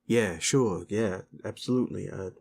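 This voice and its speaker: A man playing a gruff, sleazy werewolf boss with a hidden soft side, talking rough slang in an intimate, halting audio drama style. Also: on the low side. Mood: gruff, flirtatious.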